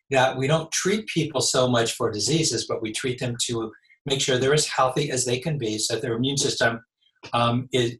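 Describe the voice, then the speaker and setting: Older guy, bit raspy, explains things slow and steady, roomy echo. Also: medium pitch. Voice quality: a little raspy. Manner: measured, explanatory. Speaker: chiropractor guest. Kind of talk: interview answers. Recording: echoey room.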